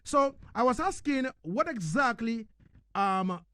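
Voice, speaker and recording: A man's voice: extremely high pitch, expressive, sharp tone, no room sound. Male radio host, dry close sound. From Ghana. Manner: deliberate, repeating words for emphasis. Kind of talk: live radio interview hosting.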